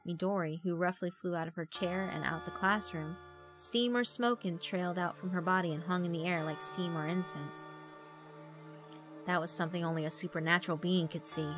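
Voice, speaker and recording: A woman's voice: on the low side, steady tone, a little fast, over music. A woman narrator reading a horror visual novel as dramatic scripted storytelling.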